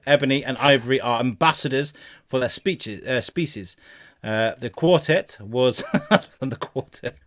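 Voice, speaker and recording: Halting, sweary male podcast host. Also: medium pitch. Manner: halting read-outs, sweary asides. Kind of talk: casual comedy news chat, reading stories aloud.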